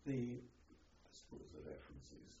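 A man's voice: mid-range voice, huge pitch swings, dark tone. Hesitant elderly man, dull echoey recording. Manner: hesitant, halting, reflective. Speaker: interviewee, former army officer. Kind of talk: oral history reminiscence. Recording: dull, noisy, echoey, distant microphone.

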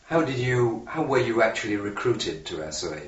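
A man's voice: on the low side, very animated, normal speed. A man asking brief, polite questions in an oral history interview. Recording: distant roomy voice on dull old tape.

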